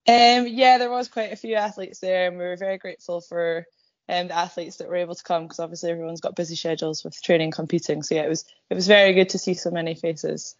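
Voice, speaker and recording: A Scottish woman in her thirties, an athlete guest in an interview, slightly croaky, talking casually with many pauses and fillers. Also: lowish voice, no room sound, dull audio. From Scotland. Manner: relaxed, many ums, light laughs.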